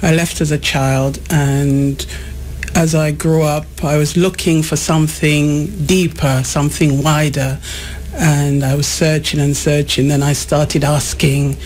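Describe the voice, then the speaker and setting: A woman's voice: low voice, fairly even intonation, measured pace, darkish tone, a little hiss or hum, rough recording. A woman, born in Jamaica, talking reflectively as a studio guest on a radio show. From born in Jamaica, raised in England. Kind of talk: conversational interview.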